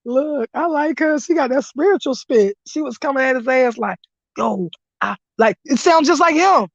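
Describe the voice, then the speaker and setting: Brief: hoarse woman, rowdy live-stream host, higher voice, dull dry lo-fi audio. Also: expressive, moderate pace, no echo. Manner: loud, laughing, profane hype commentary. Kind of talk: casual live-stream chat.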